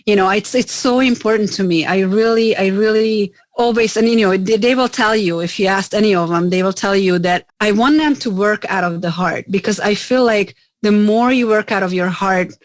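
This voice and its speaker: A woman's voice: medium pitch, normal speed, few pauses, no echo. A middle-aged woman from Hungary, a business owner talking in an interview, earnest, often restarting words and saying "you know". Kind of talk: casual interview conversation.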